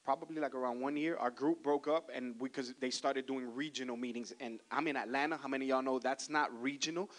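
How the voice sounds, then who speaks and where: Man, guest preacher, mid-pitched voice, talkative, joking. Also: fairly even intonation, fairly quick, few pauses, a bit bright. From Cuban heritage. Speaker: guest pastor. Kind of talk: sermon opening, casual and chatty.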